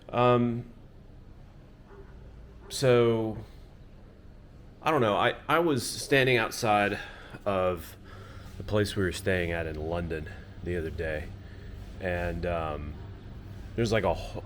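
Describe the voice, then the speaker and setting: A man's voice: deep voice, a little hiss or hum. A man from Florida talking casually to his camera outdoors at dusk, rambling and leaning on "you know" and "um". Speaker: travel vlogger. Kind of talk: casual reflective monologue to camera.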